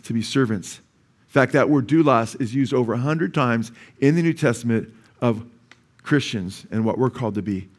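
A male pastor with a Californian accent preaching in a church sanctuary. He explains word meanings patiently, then rises to emphatic peaks. He keeps asking the congregation for an amen. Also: mid-range voice. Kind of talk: sermon.

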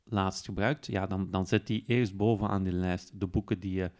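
A man's voice: low voice, fairly even intonation, normal speed, a little mellow. Flemish man giving a casual walkthrough. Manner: informal, explanatory, often tagging sentences with "hè". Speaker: podcast presenter demonstrating an app. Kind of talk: casual step-by-step software walkthrough.

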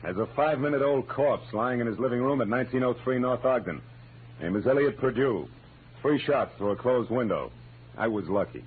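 A man's voice: mid-range voice, expressive, fairly quick, a little hiss or hum, close to an everyday voice. An American man playing a tough, wisecracking private detective in an old radio drama, clipped and sardonic. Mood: brisk, urgent. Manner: hard-boiled, clipped, sardonic. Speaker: lead actor and narrator. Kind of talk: 1940s-50s radio detective acting. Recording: muffled old radio recording with music.

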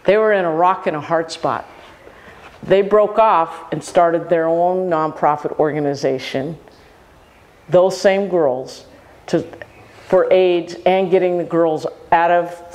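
A man's voice: a little high, a little slow. A man around sixty from Hawaii, a filmmaker answering interview questions and animatedly acting out past conversations. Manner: animated storyteller, acts out quoted exchanges. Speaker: filmmaker guest.

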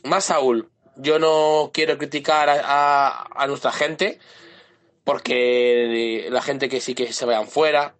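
A man's voice: medium pitch, steady tone, takes it slowly. A man with a sore throat, sending a rambling voice message to a fan podcast. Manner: rambling, loses his thread and restarts often. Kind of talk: casual voice message.

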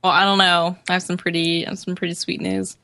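A woman's voice: on the low side, fast talker, bright, forceful tone, no echo. A woman co-hosting a podcast, talking casually in a gushing, chatty way. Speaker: podcast co-host. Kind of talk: casual, enthusiastic chat.

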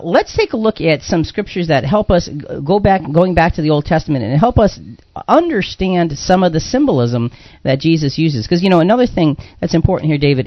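A man with a somewhat high-pitched voice hosts a religious radio discussion. He explains things conversationally and circles back on phrases for emphasis. The sound is dry and a little muffled, like lo-fi radio. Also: no echo. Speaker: radio show host. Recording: dull lo-fi radio audio.

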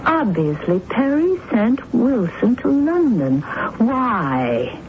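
On a tinny, narrow radio recording, a theatrical woman accused of murder. Her voice runs high and swings widely as she challenges and insists with emphatic drama, playing amateur detective. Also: sing-song, takes it slowly, exaggerated voice. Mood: insistent, probing. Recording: narrow-band noisy old radio broadcast.

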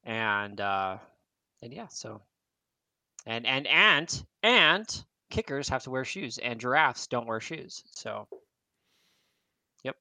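Guy, bright punchy voice, super animated, goofy podcast riffing. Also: medium pitch, slow pace, long pauses, no room sound. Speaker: podcast co-host. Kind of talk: casual joking banter.

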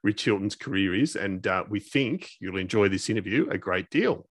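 A man's voice: on the low side, animated, moderate pace. A man hosting a podcast interview in a chatty, conversational way with somewhat hesitant phrasing. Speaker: podcast host.

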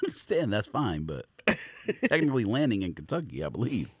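A man's voice: on the low side, big pitch swings, fairly quick, slight background noise. An American man co-hosting a podcast, chatting casually with teasing, slangy banter. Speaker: sneaker podcast co-host.

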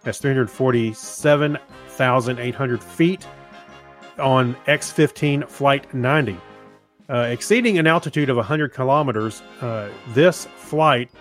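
A man's voice: medium pitch, a little slow, faint music behind. A man co-hosting a podcast, reading notes and trivia and trading wry, casual banter. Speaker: podcast co-host. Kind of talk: casual chat with listener feedback and trivia.

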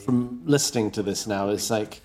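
A man giving casual podcast commentary, talking haltingly and often repeating words. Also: on the low side, a little mellow, soft background music. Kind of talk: casual group commentary chat.

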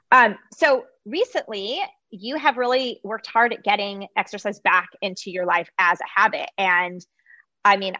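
A woman hosts a relaxed podcast chat in a bright, forceful voice, rambling through her thoughts with plenty of fillers. The recording is dry and a little dull and lo-fi. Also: mid-range voice, no room sound. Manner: rambling, lots of "you know" and "like".

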